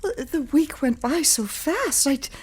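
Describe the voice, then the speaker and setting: High-voiced, fluttery genteel widowed mother played by an actress. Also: lively intonation, a little mellow. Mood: flustered, polite. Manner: halting, repeats words, fluttery. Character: flustered, fragile widowed Victorian mother, genteel and anxious. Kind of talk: period audio drama acting.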